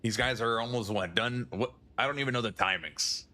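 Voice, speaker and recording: A man's voice: medium pitch, brisk, pushed and bright. A male streamer chatting casually on a livestream, rambling, swearing and chuckling often.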